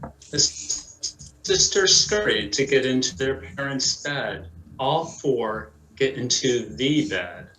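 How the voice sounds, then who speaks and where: A man narrates a scripted drama with theatrical deliberation. His voice is bright and crisp-edged, his pace slow. The room is echoey, with faint background noise. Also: medium pitch, expressive, soft background music.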